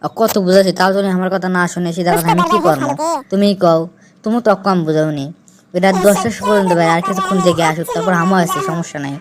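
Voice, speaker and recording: A woman's voice: on the low side, fairly even intonation, fast talker, heavy background noise, natural voice. A woman voicing an exasperated, defensive village mother with a Bogura accent in a comic amateur cartoon dub. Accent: Bogura, northern Bangladesh. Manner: defensive, argumentative, complaining. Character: exasperated village mother bickering with her husband.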